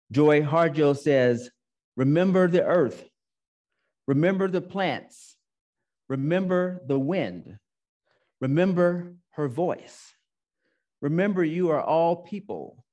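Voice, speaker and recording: A man's voice: fairly high pitch, darkish tone. A male minister giving a sermon, telling a personal story and repeating phrases for emphasis. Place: church sanctuary.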